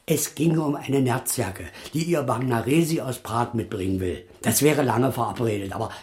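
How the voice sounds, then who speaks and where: A man's voice: medium pitch, normal speed, a little mellow, natural voice. An actor playing an evasive, frightened circus man making a defensive confession in radio drama style. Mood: confessing, subdued. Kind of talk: 1980s German radio drama acting.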